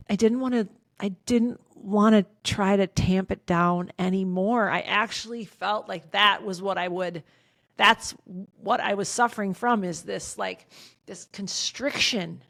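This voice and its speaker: A woman in her fifties, a podcast guest, talking candidly in an outspoken, emphatic way with a loud voice, swearing freely. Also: medium pitch, vocal fry, dry recording, studio quality. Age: 50s. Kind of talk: candid casual conversation.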